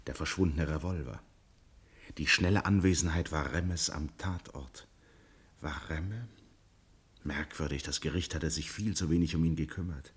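A man narrating a scripted radio drama as a grave storyteller, with a husky voice. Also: extremely low pitch, normal speed. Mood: probing, pensive.

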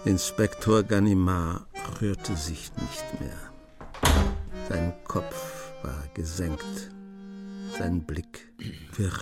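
Radio play narrator: composed, sober, low register, telling a detective story. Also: male voice, steady tone, drawn-out words, loud music behind the voice, full character voice.

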